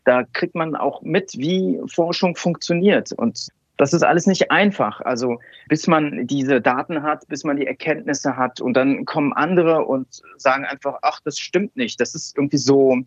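A man's voice: fairly high pitch, moderate pace, breathy edge, dry recording, lo-fi sound. A man from Cologne, a scientist, telling stories in an interview with many fillers and restarts. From Cologne, Germany. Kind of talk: relaxed conversational interview.

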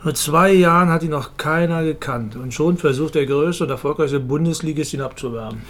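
High-voiced wry police colleague played by a man. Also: fairly quick, close to an everyday voice. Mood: dry, matter-of-fact. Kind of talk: radio drama dialogue.

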